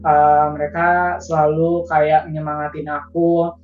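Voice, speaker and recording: A woman speaks in a low, fairly flat voice, casual and earnest, like an interview guest encouraging friends. The sound is echoey and a little dull, set back from the microphone, with music behind. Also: measured pace, recorded from a distance. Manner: earnest, informal, addressing viewers as friends. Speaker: interview guest, patient sharing their story.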